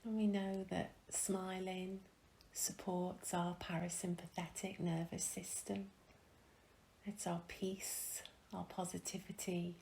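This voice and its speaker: A woman leading a guided meditation in a gentle, calm, soft and breathy voice, with long pauses, often trailing into a whisper. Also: lowish voice, slight background noise, rough recording. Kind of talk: guided meditation, soothing instruction.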